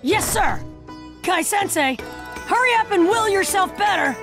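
A woman voicing a brash, eager, hot-headed teenage boy in an anime game dub, loud and blunt with some rasp. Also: very high voice, lively intonation, measured pace, over music, exaggerated voice. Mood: cheerful, eager.

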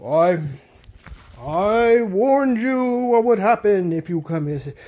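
A man's voice: sits high, animated, mellow. A young man voicing his plush toys in an improvised cartoon, rambling with lots of restarts, recorded on a handheld phone in a bedroom. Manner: rambling, halting, many restarts. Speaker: puppeteer voicing all the plush characters.

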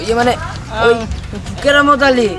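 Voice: mid-range voice, fairly even intonation, normal speed, lightly acted. An actor playing a timid, wronged beggar who speaks hesitantly and deferentially, in an amateur short film. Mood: fearful. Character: timid, wronged male beggar pleading for justice. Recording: music behind the dialogue.

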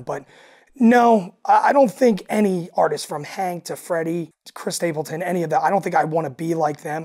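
Raspy man chatting on a podcast. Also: fairly high pitch, darkish tone. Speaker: guest, alternative country singer and frontman. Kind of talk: casual podcast chat.

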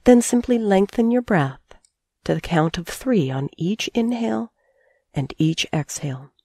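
A middle-aged woman guiding a sleep meditation as a teacher, calm and soothing, speaking in measured phrases with long pauses. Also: medium pitch, breathy edge, no room sound, clean studio sound. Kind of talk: guided sleep meditation narration.

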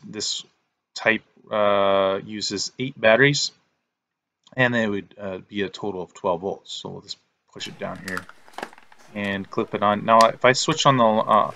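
A man giving step-by-step instructional narration as a repair technician, in a halting, plain-spoken way. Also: lowish voice, bright, forceful tone. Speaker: repair technician presenting a tutorial.

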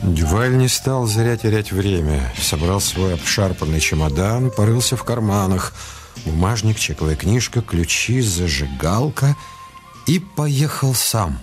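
A man narrating a detective radio play in a measured, dramatic way with deliberate pauses. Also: on the low side, expressive. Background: music.

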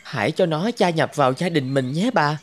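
A man's voice: fairly high pitch, fairly quick, lightly acted. A man voicing a poor, kindly young grandson from a nomadic village in a cartoon. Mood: caring. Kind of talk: cartoon dubbing.

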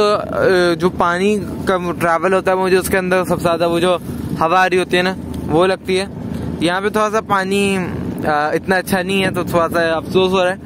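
A man chatting casually and asking questions while filming a travel vlog on a harbour ferry boat. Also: high-pitched. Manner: chatty, curious, asks questions. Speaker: vlogger. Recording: loud engine and wind noise. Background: boat engine and wind noise.

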